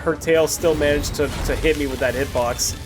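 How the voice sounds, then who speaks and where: A man with a fairly high-set voice gives casual video game commentary as the host. He talks in a chatty, reflective way, often hesitating partway through a thought. Music plays clearly behind him. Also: fairly even intonation, normal speed, low-quality recording. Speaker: host of a gameplay series. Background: music under the voice.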